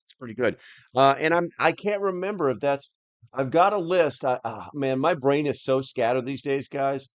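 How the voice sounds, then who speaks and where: A man's voice: mid-range voice, normal speed, no room sound, highs cut off. A man hosting a live stream, chatting casually as he reads and answers comments in a rambling, plain-spoken, slightly grumbly way.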